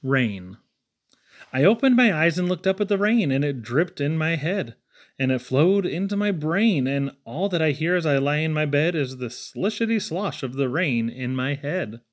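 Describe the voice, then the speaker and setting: Higher-voiced man reading children's poems theatrically. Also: animated, normal speed. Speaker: poetry reader. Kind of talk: expressive read-aloud of children's poems.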